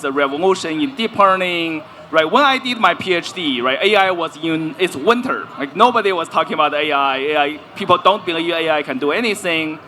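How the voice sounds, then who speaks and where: Bright-voiced man explaining on a panel. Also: a little high, a little hiss or hum. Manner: explanatory, peppers talk with "right?". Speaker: panelist, AI in health researcher. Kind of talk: live panel discussion. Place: stage at a festival panel.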